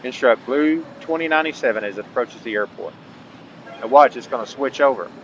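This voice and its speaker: A man's voice: mid-range voice, brisk. A man hosting a gameplay tutorial, talking casually to viewers with folksy asides and reading out instructions. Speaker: host of a gaming channel. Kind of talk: gameplay tutorial commentary, partly reading on-screen instructions aloud. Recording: dull lo-fi mic, faint background noise.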